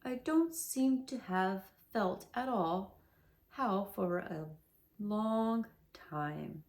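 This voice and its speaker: A woman voicing a gloomy old gray male donkey who talks slowly and mournfully, in a storybook read-aloud. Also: mid-range voice, lively intonation, a little mellow. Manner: slow, sighing, mournful.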